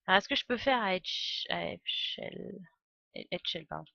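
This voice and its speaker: A woman's voice: lowish voice, expressive, normal speed, vocal fry, dry recording, muffled recording. A woman streamer giving casual live game commentary, thinking aloud in a hesitant way and correcting herself. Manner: hesitant, musing, self-correcting. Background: soft game music.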